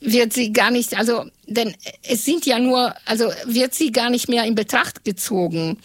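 Halting woman. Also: on the high side, no room sound. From Italy. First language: Italian. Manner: halting, with frequent pauses and fillers. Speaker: radio journalist. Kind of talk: interview answers.

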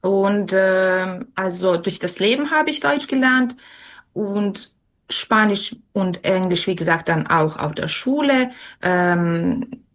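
Slow, hesitant young woman guest. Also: medium pitch, expressive, a bit bright. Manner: hesitant, with many fillers. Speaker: interview guest. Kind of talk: casual spoken answers.

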